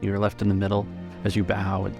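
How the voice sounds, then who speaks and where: Guy game master w a lowish creaky voice, halting descriptive storytelling, soft music behind. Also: completely flat delivery. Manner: halting, searching descriptive phrasing. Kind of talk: narrating a tabletop game.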